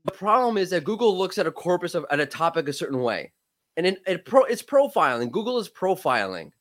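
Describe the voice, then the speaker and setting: A man's voice: high voice, sing-song, fairly bright tone. Man in his thirties with a cold. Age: 30s. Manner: emphatic, quick, frequent self-restarts. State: has a cold, voice cracking. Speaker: podcast guest, SEO expert. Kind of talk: casual expert discussion.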